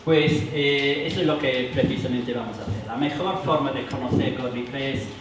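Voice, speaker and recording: A man with a foreign accent, not a native speaker, leading a church workshop for adults, halting with many fillers and restarts. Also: medium pitch. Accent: foreign accent in Spanish. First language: not Spanish. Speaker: workshop leader. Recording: roomy hall, mic from far, noisy.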